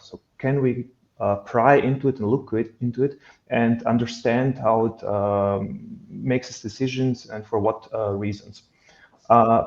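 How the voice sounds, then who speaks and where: A man in his thirties with a Bosnian accent, explaining haltingly as a researcher on an online panel. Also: medium pitch, a little mellow. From Bosnia and Herzegovina. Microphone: headset on a video call. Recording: dull, roomy video-call audio.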